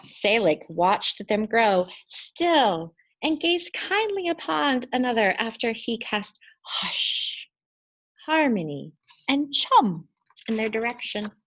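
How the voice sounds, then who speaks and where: A woman's voice: on the high side, very animated, fairly bright tone. Woman reading a kids picture book, takes her time, leans on the important words. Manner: deliberate, emphatic storytelling delivery. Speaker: storybook reader. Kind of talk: expressive children's storybook narration.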